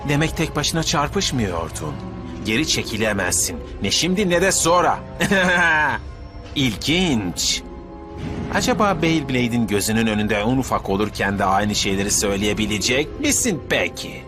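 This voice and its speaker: A man playing a sadistic, gloating villain in a dubbed anime cartoon, taunting with drawn-out theatrical menace. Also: mid-range voice, very animated, lightly acted. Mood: gloating, cruel. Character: sadistic gloating male villain Beyblade rival. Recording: music behind the voice.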